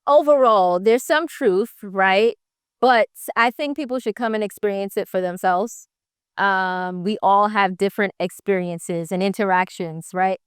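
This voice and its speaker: A young woman from Jamaica answers interview questions in an upbeat, motivational way. Her voice is smooth and clear, recorded dry and close with no room sound. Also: mid-range voice, measured pace, no echo. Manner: upbeat, motivational, says "right?" often. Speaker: interview guest, entrepreneur. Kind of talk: casual interview.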